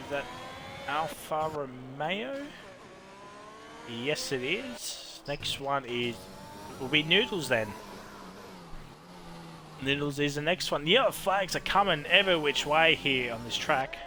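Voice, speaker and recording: A man commentating a live online racing league, reacting with quick exclamations and chatty asides. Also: on the high side, lively intonation. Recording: race game engine noise behind voice.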